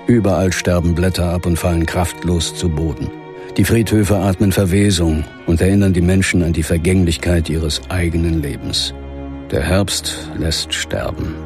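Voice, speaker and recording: Man acting grieving middle-aged ghost hunter, low somber voice, horror audio drama with music under it. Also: lightly acted. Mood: brooding, grieving. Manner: somber, measured. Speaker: lead actor and first-person narrator. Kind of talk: dramatic audio-play narration.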